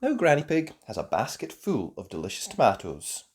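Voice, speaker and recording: A storyteller reading a children's picture book aloud in an expressive way, as host. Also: medium pitch, fairly quick, husky.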